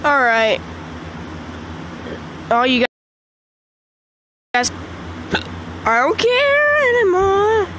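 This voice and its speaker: A woman with a congested voice chatting casually over mobile gameplay, excitable and squealing at the game. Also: very high-pitched, extremely slow, pauses often, a little hiss or hum, rough recording. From Michigan. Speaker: gaming channel host. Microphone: phone screen recording.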